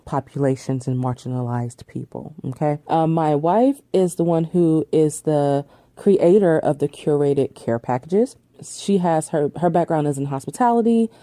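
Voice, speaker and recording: A woman hosts her podcast alone, answering questions in a loose, chatty way full of ums and easy laughter. Her voice sits low and warm. The sound is close and dry. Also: dry recording. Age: 30s. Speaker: podcast host. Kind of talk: casual solo Q&A chat.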